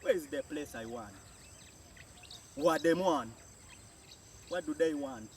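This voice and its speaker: A man's voice: on the high side, slow pace. A man with a Jamaican accent giving a spoken language lesson, saying each phrase and then translating it, often repeating. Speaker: language teacher. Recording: distant mic with faint outdoor noise.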